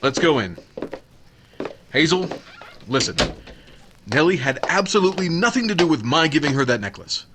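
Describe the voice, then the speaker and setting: A man's voice: on the high side, very animated, moderate pace, long pauses, background noise. A man playing a young suitor in 1940s radio drama style, sheepish and earnest, often hesitating and stammering. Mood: earnest, indignant.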